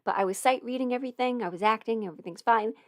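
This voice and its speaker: A woman with a fairly high voice chats casually as a livestream host. She rambles in an informal way, thinking out loud as she talks. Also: very fast. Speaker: livestream host and voice performer answering viewer questions. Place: indoors at a desk at home.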